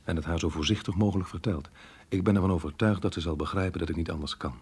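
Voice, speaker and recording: Radio drama: remorseful married diarist, low register, slightly rough tone, reflective and measured. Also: male voice, a little mellow. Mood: guilty, resigned. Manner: earnest, reflective, measured delivery. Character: married man, melancholy diarist torn by guilt over lost love. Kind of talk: radio drama monologue, reading a diary.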